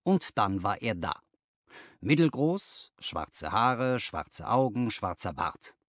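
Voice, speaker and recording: A man reads a first-person story for an audiobook. His storytelling is expressive, with very varied intonation. The recording is dry and close. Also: on the low side, slow, no echo. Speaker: audiobook narrator.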